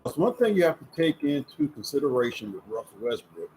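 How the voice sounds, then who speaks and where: A man's voice: medium pitch, very animated, a little fast, a little mellow, a little hiss or hum. A man in his fifties hosting a casual sports talk show, chatty and folksy, leaning on words for emphasis. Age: 50s. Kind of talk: casual sports commentary vlog. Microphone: camera setup with ring light.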